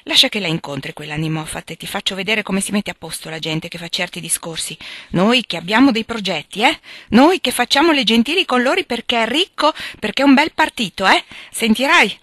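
A woman's voice: medium pitch, lively intonation, brisk, fairly bright tone, no echo, voice pushed up. A woman reading a storybook aloud, voicing a blunt teenage girl who bursts out in indignation. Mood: indignant. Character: fiery, blunt teenage girl, quick to outrage.

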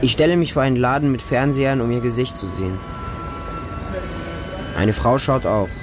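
An actor playing a frightened, devoted street child who lives with dogs, telling the story in short, simple sentences for a radio drama. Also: male voice, mid-range voice, moderate pace, background noise, lightly acted. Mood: plaintive, earnest. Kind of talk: first-person radio drama monologue. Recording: music under the voice. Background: music.

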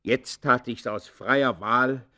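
An actor playing an embittered outlaw poacher, confessing in the theatrical, literary manner of a classic German radio play. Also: male voice, medium pitch, slow pace, pushed and bright. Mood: defiant, bitter.

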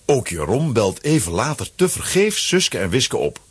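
A man with a husky, rough voice reading scripted narration for a children's story. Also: medium pitch, sing-song, normal speed, dry recording.

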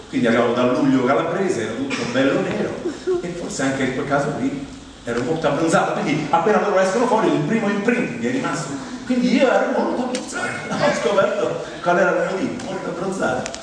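A middle-aged man with a Roman Italian accent delivers a humorous theatrical monologue in a conversational, expressive way, pausing for effect. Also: fairly high pitch. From Rome, Italy. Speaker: comic monologist. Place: theatre stage in front of an audience. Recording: very echoey hall, distant mic, noisy.

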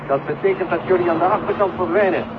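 Casting: matter-of-fact mission control officer, 1970 radio drama, thin phone-like transmission with clear static. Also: male voice, high-pitched, fast talker. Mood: calm, matter-of-fact. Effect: radio link filter. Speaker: actor in a radio play. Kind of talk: 1970 Dutch science fiction radio drama acting.

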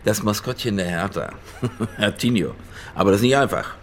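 A man's voice: on the low side, expressive, moderate pace, slight background noise. An actor playing an imperious, slippery businessman client in a radio crime drama, brusque and insistent, with a joking streak. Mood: amused.

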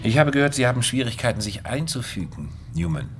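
An actor playing a smooth, controlling coach and psychologist in a German radio drama, speaking in measured, deliberate phrases with weighty pauses. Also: male voice, mid-range voice, big pitch swings, soft background music, lightly acted. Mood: smoothly probing. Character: smooth, controlling male studio coach and psychologist, insinuating mentor.

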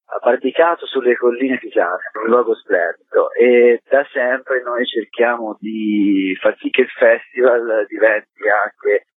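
A man being interviewed by phone on the radio as a festival director, explaining in a discursive way with pauses mid-phrase. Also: on the low side, husky, no echo. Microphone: telephone call to radio. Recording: thin, phone-like narrow-band line.

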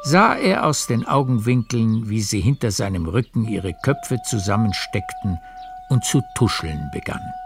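A man narrating a literary radio play in a measured storytelling manner. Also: on the low side, animated, gravelly. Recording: music behind the narration.

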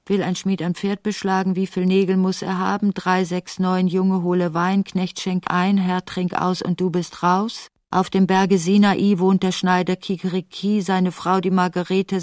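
A woman's voice: fairly low pitch, darkish tone, no room sound, clean studio sound, lightly acted. An actress playing a lonely, grieving, bitterly defiant revolutionary woman in an intense, intimate literary radio play. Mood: chanting. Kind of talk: literary radio play, soliloquy and narrated stage directions.

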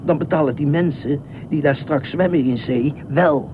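Actor as pompous, excitable beach watchman, tinny noisy recording. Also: fairly high pitch, normal speed, close to an everyday voice. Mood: indignant. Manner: pompous, indignant, rambling. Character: eccentric, self-appointed middle-aged beach watchman, officious and excitable. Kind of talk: radio play acting. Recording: noisy, tinny phone-like radio drama recording.

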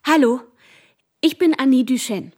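An earnest girl of nineteen in a radio drama, speaks as if writing a letter to a friend. Her voice sits a little high for a woman. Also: normal speed, darkish tone. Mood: composed. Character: nineteen-year-old female student teacher, earnest, writing letters. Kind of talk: radio play, letters read as inner voice.

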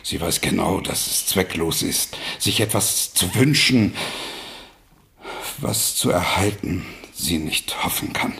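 An actor in a German radio drama playing a stout, blunt old father who urges deliberately, with pointed pauses. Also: sits low, expressive, creaky, bright, forceful tone, full character voice. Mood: insistent, reproachful. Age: older.

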